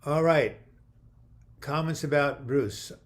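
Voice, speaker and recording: An older man hosting a live video chat, reading viewer comments aloud and replying in a friendly, halting way with frequent restarts. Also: a little high. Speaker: livestream host answering viewer comments.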